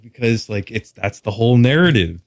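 A man's voice: medium pitch, animated, normal speed, no room sound, studio quality. A man from Texas chatting casually as a podcast guest, with a candid, wry, casually profane way of talking. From Texas, United States. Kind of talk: casual interview chat.

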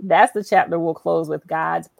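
A woman interviewer with a fairly low voice that often dips into fry. She speaks as a show host, reflective and emphatic, affirming her guest. The sound is close and dry. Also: steady tone, normal speed, no room sound. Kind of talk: conversational interview, reading aloud from a book.

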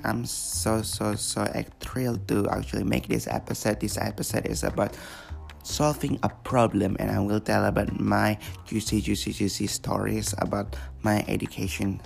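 Gravelly low-voiced teen podcaster. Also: male voice. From Indonesia. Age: teens. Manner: rambling, many fillers and repeated words. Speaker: podcast host. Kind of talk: casual solo podcast.

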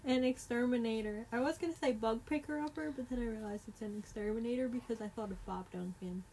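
A woman co-hosting a casual podcast, teasing her friend and tossing out quick jokey comebacks. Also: a little high, darkish tone, a little hiss or hum. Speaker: podcast co-host. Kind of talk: casual chat.

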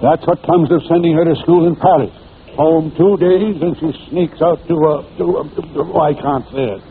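A man's voice: a little high, fairly even intonation, moderate pace, natural voice. Actor as a blustering pompous prime minister, man. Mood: flustered. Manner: pompous, flustered, sputtering. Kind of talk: 1940s radio comedy acting. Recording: tinny noisy old radio recording.

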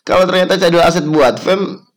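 A man's voice: high-pitched, fairly even intonation, a little fast. Chatty young man podcasting. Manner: chatty, self-mocking, frequent fillers and laughs. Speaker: podcast host. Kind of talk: casual podcast monologue.

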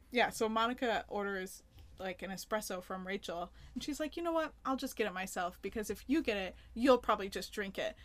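A woman's voice: mid-range voice, animated, moderate pace. Woman, podcast co-host, casual recap chat, quotes the characters. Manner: chatty, acts out characters' lines in quotes.

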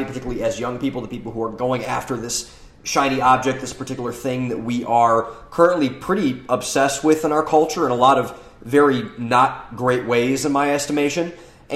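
A young man with a stuffy, nasal voice from a cold, talking through a solo podcast with eager, rambling energy and frequent restarts. His pitch sits in the middle range. The sound carries a clear echo from the room around him. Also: a bit bright. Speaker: podcast host. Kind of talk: solo podcast monologue, partly reading his essay. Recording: echoey room.

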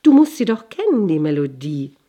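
A woman voicing a playful male court jester who talks in an excited, sing-song way in a spoken fairy tale. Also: on the low side, takes it slowly, heavily acted. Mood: exuberant.

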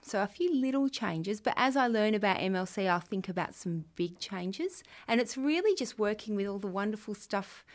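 A woman's voice: medium pitch, lively intonation, normal speed, few pauses. An Australian woman in her forties, a school leader answering students' interview questions in an earnest, chatty way. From Western Australia. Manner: warm, earnest, chatty with fillers. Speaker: school head of junior years.

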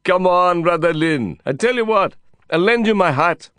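A man voicing an exuberant, foul-mouthed, friendly Indian man with an Indian accent and excitable, slangy, cajoling speech in an audiobook. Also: high-pitched, normal speed, clean tone, no echo, pitched above the performer's own voice.

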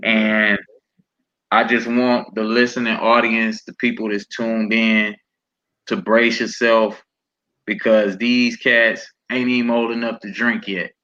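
A man co-hosting a podcast, introducing guests in a relaxed, casual way. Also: lowish voice, takes it slowly. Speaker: podcast co-host. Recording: dull lo-fi line.

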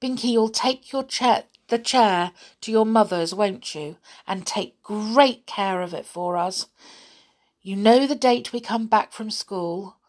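A woman's voice: mid-range voice, slow pace, lightly acted. A woman voicing a sensible young girl in a children's story, firm but kindly.

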